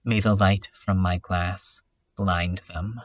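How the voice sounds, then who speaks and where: A man playing a brooding, self-critical dreamer in a contemplative, halting monologue for a webcomic fan dub. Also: low-pitched, steady tone, no room sound, muffled recording. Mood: wistful, wounded.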